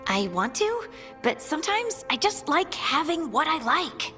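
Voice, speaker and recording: A woman's voice: sits high, big pitch swings, unhurried, bright, forceful tone, long reverb tail, soft background music, heavily acted. An actress playing an eager-to-please young dwarven woman in a video game, speaking hesitantly and apologetically. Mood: earnest. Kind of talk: video game cutscene acting.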